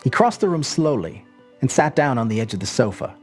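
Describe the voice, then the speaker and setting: A woman narrating a literary short story as an expressive storyteller. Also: a bass voice, fairly quick, faint music behind.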